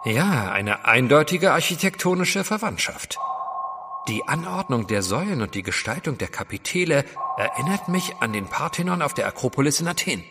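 Courteous, lively gentleman adventurer. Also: medium pitch, moderate pace, long reverb tail, music in the background. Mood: intrigued. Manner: polite, formal. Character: courteous gentleman adventurer, devoted husband, keen observer. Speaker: actor. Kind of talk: German audio drama acting.

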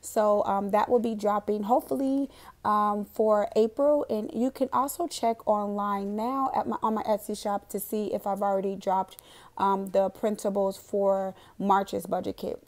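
A young woman with a raspy, hoarse voice from a cold, chatting casually in a voiceover while setting up her monthly budget planner, rambling with lots of fillers. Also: mid-range voice, steady tone, measured pace, darkish tone. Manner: chatty, rambling, with frequent "um" and laughs. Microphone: voiceover recorded separately from the footage.

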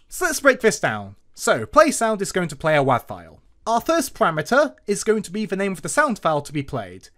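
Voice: medium pitch, a bit bright. A tutorial host narrating a scripted programming lesson step by step in an instructional manner. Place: voice-over to a screen recording.

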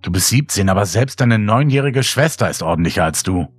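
A man voicing a teenager's warm, teasing mother in an audio story, lightly chiding and affectionate. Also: on the low side, expressive, fairly quick, husky, no echo, faint music behind.

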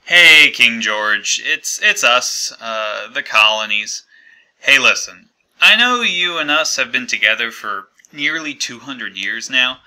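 Man acting a whiny, bright-voiced jilted partner. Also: medium pitch, heavily acted, low-quality recording. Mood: awkward, hesitant. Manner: hesitant, conversational, whiny and aggrieved. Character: American colonies as fed-up, awkward jilted partner leaving voicemail. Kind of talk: comedic monologue as a breakup voicemail.

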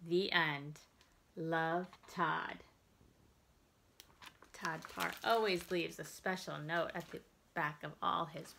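Bright-voiced American woman reading to children. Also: on the low side. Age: middle-aged. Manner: expressive, deliberate delivery for young children. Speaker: children's librarian hosting storytime. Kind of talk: children's storytime read-aloud and instruction. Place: indoors, plain-walled room.

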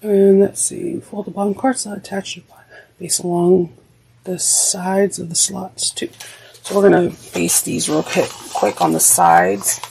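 A woman's voice: lowish voice, level tone, a little slow, creaky, darkish tone, slight background noise, low-quality recording. A woman teaching a sewing project, talking casually and thinking aloud as she works. She is getting over a cold and her voice cracks now and then. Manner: chatty, thinks aloud while working. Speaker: sewing instructor. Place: craft room at a sewing table.

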